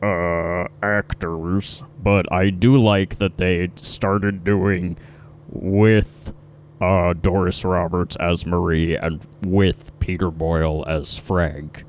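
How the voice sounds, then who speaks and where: A man doing casual improvised comedy banter, talking in a halting, rambling way. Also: deep voice, level tone, a little hiss or hum. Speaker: improv podcast performer.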